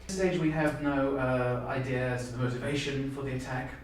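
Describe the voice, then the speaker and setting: A man's voice: medium pitch, steady tone, natural voice. A man playing an evasive, flustered official who halts and stammers through an improvised interrogation scene. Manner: halting, hesitant, stammering. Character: flustered, evasive male official under questioning. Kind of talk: improvised audition role-play. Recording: echoey room, distant mic, faint noise.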